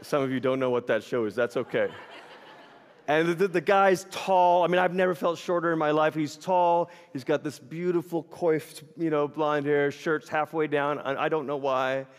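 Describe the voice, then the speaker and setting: A man from Malaysia preaching a sermon in a church, telling animated, self-deprecating stories with humor. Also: a little high, moderate pace, clean tone. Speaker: pastor. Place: church sanctuary. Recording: long reverb of a large hall.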